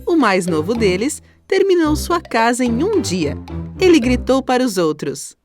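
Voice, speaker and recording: A woman's voice: a little high, big pitch swings, a little slow. A woman with a Brazilian accent narrating a scripted children's story. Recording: music under the voice.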